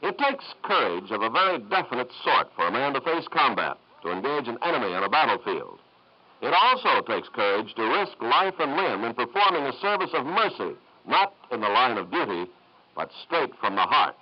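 A man's voice: medium pitch, very animated, normal speed. A man reading formal scripted 1950s radio announcements in an earnest, declamatory manner. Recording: muffled old 1950s radio recording.

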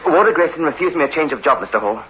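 An actor playing a frightened young prisoner in a 1960s radio drama, anxious, deferential and halting as he pleads. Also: a little high, expressive, bright, forceful tone. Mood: anxious pleading. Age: young adult. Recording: muffled, noisy old radio recording.

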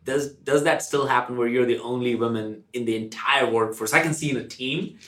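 A man's voice: mid-range voice, steady tone, fairly quick, a bit bright. A man from India with an Indian accent co-hosting a podcast, speaking in a casual, reflective way and often posing questions to himself. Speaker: podcast co-host. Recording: roomy, echoing podcast recording.

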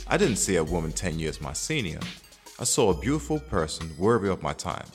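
A man playing a confident, smitten young single man in an audio drama, talking in a slangy, conversational way. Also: lowish voice. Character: cocky, smitten young single man telling his story. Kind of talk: audio drama narration and dialogue.

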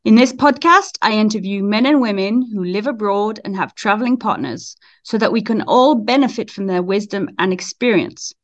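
A woman's voice: medium pitch, lively intonation, moderate pace, hardly any pauses. Woman podcast host, slightly dull sound. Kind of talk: podcast presenting and interviewing.

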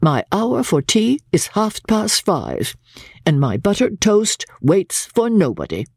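A woman voicing a haughty, cold, self-righteous widow in a dramatic reading of a classic novel, husky, stern, precise and formal. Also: mid-range voice, huge pitch swings, slow pace, darkish tone, no room sound, clean studio sound, full character voice. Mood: smug, condescending. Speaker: audiobook reader.

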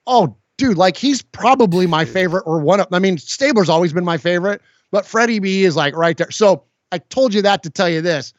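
A man in his forties talks earnestly as a podcast guest, stumbling over his words and restarting often. His voice sits slightly high for a man. The sound is dry and a little dull, as if his line were lo-fi. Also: expressive, a little fast, hardly any pauses, no echo. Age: 40s. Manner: earnest, stammering restarts, heartfelt. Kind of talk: casual podcast chat.

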